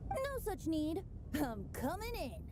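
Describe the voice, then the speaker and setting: A woman's voice: extremely high pitch, wildly swooping pitch, slow pace, background noise, full character voice. A woman voicing a whimsical, cheerful young male bard in a video game, theatrical and airy, over music. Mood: cheerful greeting. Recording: loud music behind the voice.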